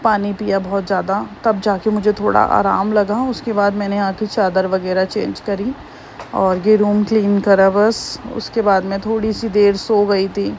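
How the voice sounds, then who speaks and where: A woman in her thirties with a slightly hoarse voice, chatting homely and rambling through her daily vlog. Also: medium pitch, flat delivery, normal speed, darkish tone, a little hiss or hum. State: hoarse, slightly sore throat. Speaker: vlogger. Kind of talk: casual daily-life vlog narration.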